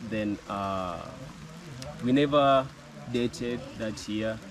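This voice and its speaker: A man, the woman's husband, chiming in casually and haltingly during an interview. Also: fairly low pitch, a crowd in the background. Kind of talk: casual chat.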